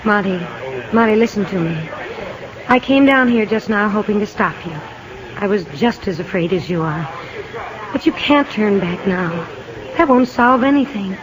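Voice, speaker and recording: An actress in a 1950s radio drama playing a spirited, encouraging young wife with a plucky, coaxing, earnest manner. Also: female voice, mid-range voice, expressive, normal speed, crowd noise, close to an everyday voice. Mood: earnest, urging. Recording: noisy, muffled 1950 radio recording.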